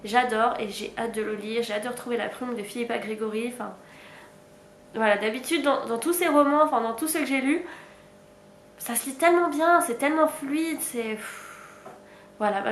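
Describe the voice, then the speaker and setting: A woman's voice: a little high, roomy sound, a little hiss or hum. A young woman with a stuffy cold, chatting frankly about her reading as a book vlogger, with sighs and small laughs. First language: French. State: sick with a stuffy nose. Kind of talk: casual reading update.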